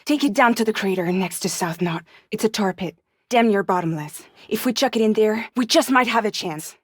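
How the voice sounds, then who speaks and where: Actress doing a wry tough courier lady from a video game, soft husky voice, really dry close sound. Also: medium pitch, brisk, dry recording, lightly acted. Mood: urgent, resolute. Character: guarded, wry, resilient woman courier in post-apocalyptic world. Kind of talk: cinematic video game acting.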